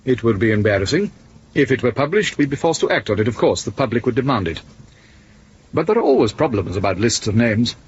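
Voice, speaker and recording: Guy playing condescending govt boss, veiled menace, measured but very inflected, muffled 70s radio play w faint noise. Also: medium pitch, animated, close to an everyday voice. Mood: veiled threat. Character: smooth, evasive senior male East German official, quietly menacing. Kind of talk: 1970s British radio drama acting. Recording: dull, lightly noisy 1970s radio recording.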